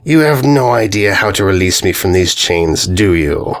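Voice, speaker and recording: A man voicing a menacing giant centaur villain in a storybook, with a raspy voice and a grandiose, theatrical, exasperated manner. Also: low voice, animated, moderate pace. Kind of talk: storybook narration character voice.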